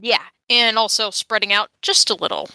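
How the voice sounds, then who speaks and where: A woman speaks as a player in a tabletop game, casually describing her character's moves. Her voice is bright and mid-pitched, recorded very dry. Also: moderate pace, clear, resonant tone, dry recording, rough recording.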